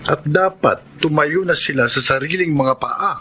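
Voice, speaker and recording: Stern middle-aged uncle, bright forceful voice. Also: male voice, fairly high pitch, moderate pace, husky. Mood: admonishing. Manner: admonishing, firm. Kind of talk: Filipino radio drama acting.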